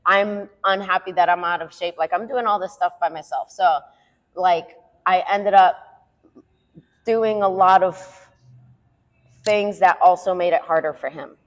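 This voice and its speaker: A woman co-hosting a podcast, chatting candidly and animatedly with frequent laughter and quick bursts when she vents. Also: fairly low pitch, steady tone, long reverb tail, muffled recording. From Puerto Rican heritage. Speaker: podcast co-host. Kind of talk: candid casual chat.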